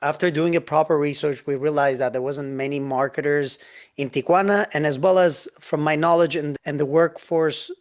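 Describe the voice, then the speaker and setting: A man tells his story as a podcast guest. He talks in an earnest, eager way, with a slightly high voice for a man. He sometimes restarts his sentences, and he is heard over a video call. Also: fairly even intonation, moderate pace. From Turkey. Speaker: podcast guest, business founder. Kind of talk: interview storytelling.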